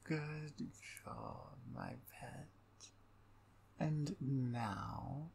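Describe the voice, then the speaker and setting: A woman voicing a dominant, possessive female vampire in a soft, intimate ASMR roleplay, slow and breathy with whispers. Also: rumbling low, slight background noise, voice pushed down, heavily acted. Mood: possessive, pleased. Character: dominant, possessive, playful female vampire, tender toward her pet.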